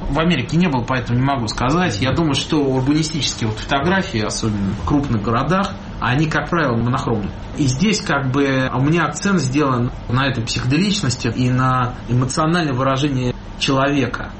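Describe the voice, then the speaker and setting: A man's voice: medium pitch, a little fast. Reflective man over background noise. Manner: reflective, speaks in images, stresses and repeats words. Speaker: street photographer, interview guest. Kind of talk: interview answers.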